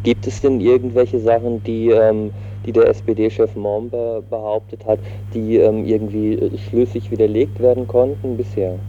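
A man's voice: lowish voice, little pitch movement, moderate pace, few pauses. A man asking hesitant interview questions for radio. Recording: dull recording with faint hum.

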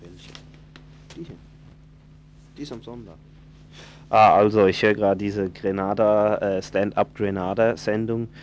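A man's voice: lowish voice, steady tone, unhurried, long pauses, a little mellow, slight background noise. A man on community radio reading event listings in a loose, casual way, stumbling and correcting himself as he goes. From southwest Germany. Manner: informal, stumbling, self-correcting. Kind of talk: casual live radio announcements.

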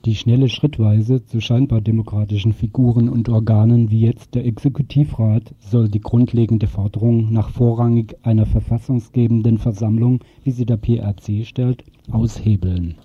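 A man's voice: lowish voice, fairly even intonation, normal speed, few pauses, husky, no echo. A man reading a scripted radio news report as a reporter. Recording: muffled phone-like narrow band, dry.

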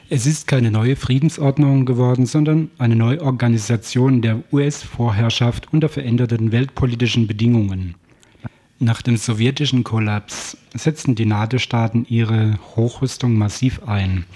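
A male commentator with a dark, husky voice of medium pitch reads a scripted political piece. His delivery is formal and accusatory. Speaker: radio commentator.

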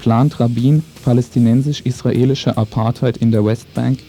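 A man's voice: mid-range voice, steady tone, normal speed, mellow, faint music behind. A man reads a scripted political news commentary in a formal, sober manner.

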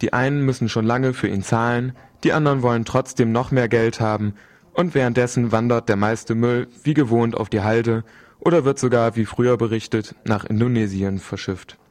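Mid-pitched male radio presenter. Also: steady tone, moderate pace, a little mellow. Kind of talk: scripted introduction and phone interview.

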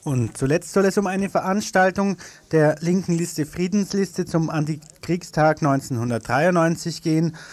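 A man from reading a community radio news bulletin in a studio, measured with the odd slip and self-correction. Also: fairly high pitch, a little mellow. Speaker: news presenter.

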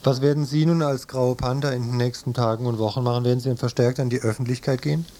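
A man conducting a radio news interview in a measured, formal way. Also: mid-range voice, fairly even intonation, dark tone.

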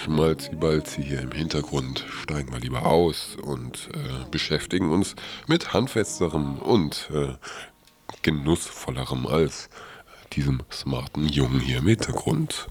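A man hosting morning radio with loose, improvised announcements, halting and wry, often correcting himself. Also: very deep voice, lively intonation. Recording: music playing behind the voice. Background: music.

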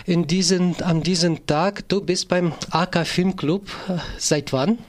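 A man hosting a live morning radio interview, improvising his questions haltingly with false starts and rephrasings. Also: high-pitched, steady tone.

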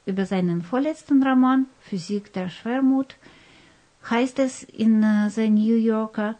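A woman co-hosting a radio programme, reading a prepared script somewhat haltingly. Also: a little high, warm tone. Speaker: radio co-host and interviewer. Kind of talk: scripted radio presentation in German.

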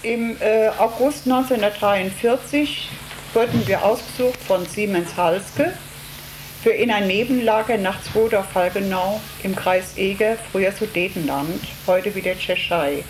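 An older woman speaks as a witness, recounting her own memories in plain, halting phrases and repeating words for emphasis. Her pitch is average, and a faint crackling noise sits on the recording. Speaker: camp survivor giving testimony. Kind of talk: spoken eyewitness recollection. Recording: noisy interview with crackling hiss.

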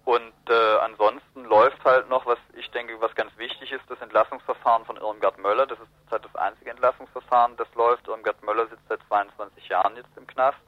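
A man's voice: mid-range voice, fairly even intonation, brisk, few pauses. A man giving analytical, discursive political commentary as a guest in a radio interview. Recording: roomy, muffled radio interview.

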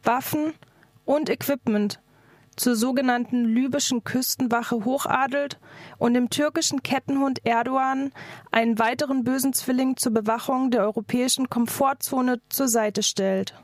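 A woman's voice: high-pitched, steady tone, moderate pace, dry recording. A woman activist delivering a scripted political speech in an emphatic, declarative manner. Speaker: activist speaker.

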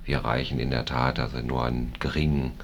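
A man speaking as a physician on a discussion panel, hesitant and measured as he makes his argument. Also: rumbling low, little pitch movement. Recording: faint background noise.

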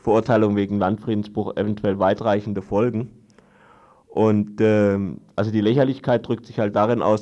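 Man, low voice, unscripted radio report, halting delivery, long explanatory sentences. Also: warm tone, lo-fi sound. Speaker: guest reporting on a court trial.

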